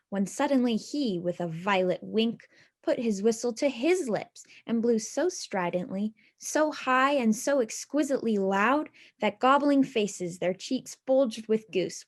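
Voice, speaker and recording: A woman giving a dramatic, expressive reading of a nostalgic literary story with measured phrasing. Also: on the high side. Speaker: reader in a readers theatre.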